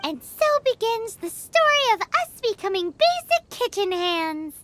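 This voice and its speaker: A woman voicing a tiny, childlike, cheeky fairy companion in a video game, with a squeaky voice and a blunt, sassy manner. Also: very animated, measured pace, heavy background noise, full character voice. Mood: grudging. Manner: refers to self in third person, sassy. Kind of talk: animated video game acting. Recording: prominent background game music.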